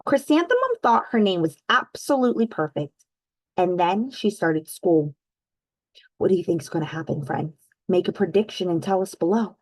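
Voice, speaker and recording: A woman hosting a children's read-aloud, telling a storybook expressively with drawn-out emphasis and putting teacherly questions to young listeners. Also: fairly low pitch, dry recording, rough recording. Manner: teacherly, addresses listeners as friends; animated, exaggerated storytelling emphasis with drawn-out words.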